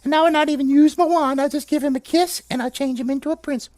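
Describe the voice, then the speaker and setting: A man voicing a dainty, dismissive female fairy in falsetto for a puppet show story. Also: fairly even intonation, quick pace, darkish tone, dry recording. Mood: haughty, dainty. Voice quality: high falsetto.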